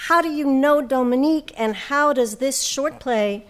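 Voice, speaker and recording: Higher-voiced woman host, polished. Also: moderate pace. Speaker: host and interviewer. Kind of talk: scripted presenting and stage interview.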